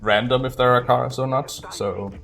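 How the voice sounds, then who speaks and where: A man's voice: mid-range voice, fairly even intonation, moderate pace, gravelly, a bit bright. A man giving casual, self-critical commentary while streaming a video game speedrun.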